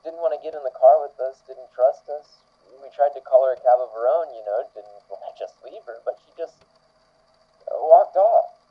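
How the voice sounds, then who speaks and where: A man playing a shaken, defensive witness on a taped police interview in an audio drama, speaking haltingly. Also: mid-range voice. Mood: defensive, distressed. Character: defensive, shaken witness recalling a missing friend. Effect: old taped interview, radio-like filter. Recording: tinny, narrow phone-like taped case log.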